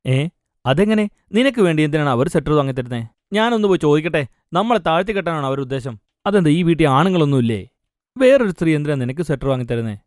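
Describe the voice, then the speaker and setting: A man acts out a stern, old-fashioned father in a cartoon story. He scolds in a domineering, angry way, talking quickly in a slightly high male voice. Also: sing-song, no echo, clean studio sound. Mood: suspicious, indignant. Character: stern, angry traditional father of a village household. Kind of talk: dramatised cartoon story dialogue.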